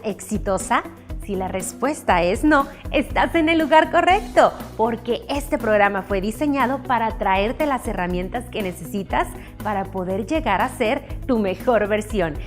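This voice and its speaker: A woman TV host whose voice sits a little high, delivering her lines with bubbly, emphatic presenter energy. Faint music runs under her speech. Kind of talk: upbeat show hosting and interview.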